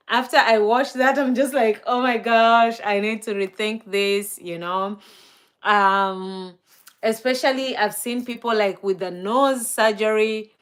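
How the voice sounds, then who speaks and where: A woman's voice: medium pitch, a bit bright. A woman from Kenya with a Kenyan accent, chatting on a live stream, reading out viewer comments, with many fillers and easy laughs; Swahili and Luo are her first languages. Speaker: livestreamer.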